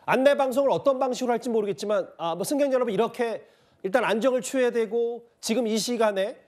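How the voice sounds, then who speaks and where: Man, high voice, news show host, conversational, probing questions, studio discussion. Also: quick pace, a little mellow.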